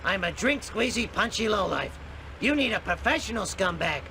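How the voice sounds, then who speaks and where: An actor playing a seedy, worn-out male clown in a cartoon game, gravelly and weary, grumbling as he talks. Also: high voice, lively intonation, moderate pace, bright, forceful tone, slight background noise, heavily acted. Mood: jaded, grumbling.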